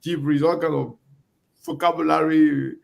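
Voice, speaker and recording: Middle-aged Liberian man, Liberian English, high gravelly voice, emphatic talk-show host rhythm. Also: steady tone, normal speed. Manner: emphatic, repetitive, preacher-like cadence. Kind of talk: impassioned monologue.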